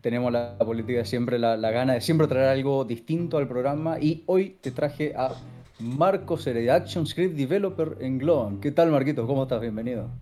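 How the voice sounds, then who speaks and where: A man's voice: mid-range voice, moderate pace, mellow. A man hosting a podcast, interviewing a guest in a casual, chatty way. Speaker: host. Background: guitar music.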